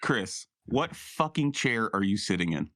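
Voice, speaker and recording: A man's voice: lowish voice, sing-song, unhurried, a bit bright, no room sound, clean studio sound. A man playing a tabletop roleplaying game in casual, sardonic, exasperated banter with some swearing. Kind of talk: casual banter and in-character chat.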